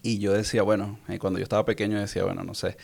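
Young male guest, Venezuelan accent, lowish creaky voice, casual, halting testimony delivery. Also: level tone, darkish tone. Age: young adult. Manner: hesitant, meandering, repeats words. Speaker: interview guest.

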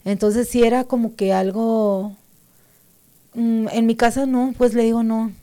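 A woman's voice: on the high side, flat delivery, a little slow. A woman from Mexico, giving a hesitant personal faith testimony as an interview guest. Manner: hesitant, many fillers and restarts, mellow.

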